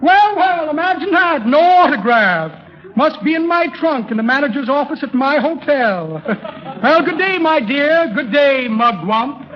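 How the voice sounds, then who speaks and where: Very high-pitched florid swindler. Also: male voice, expressive, a little slow. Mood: florid, blustery. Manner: florid, muttering asides, chuckling patter. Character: blustery, shifty, theatrical old-time con man. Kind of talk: 1930s radio comedy acting. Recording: muffled 1930s radio recording.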